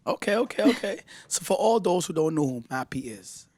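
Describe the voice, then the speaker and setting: A man's voice: high-pitched, animated, brisk, darkish tone, dry recording. A man hosting a radio interview show, playful and hyped, sometimes stumbling over his words. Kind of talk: casual interview chat.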